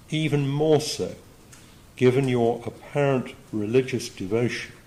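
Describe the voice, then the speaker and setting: A man speaking as a judge, delivering formal sentencing remarks in measured, broken phrases. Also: mid-range voice, mellow, poor audio. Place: courtroom.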